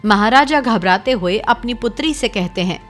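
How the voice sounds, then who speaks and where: A woman narrating a cartoon fairy tale in a steady, dramatic storytelling way. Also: fairly high pitch, moderate pace, faint music behind.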